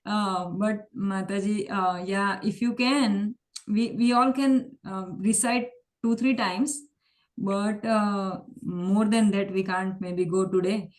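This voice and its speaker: A woman's voice: medium pitch, fairly even intonation, slow pace. A woman teaching a verse class, repeating and restarting, mixing English and Hindi. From India. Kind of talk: online class explanation.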